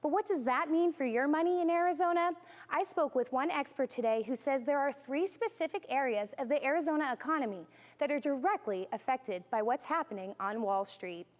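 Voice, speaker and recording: A woman's voice: high voice, brisk. An American woman in her twenties delivering scripted television news narration as a reporter, in a polished, emphatic way. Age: 20s.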